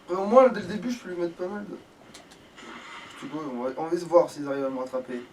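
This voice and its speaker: A man with a fairly high voice commentates on his own gameplay. He talks casually, grumbles and swears freely. The microphone sits at a distance and picks up clear background noise. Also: lively intonation, fast. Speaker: let's play gamer.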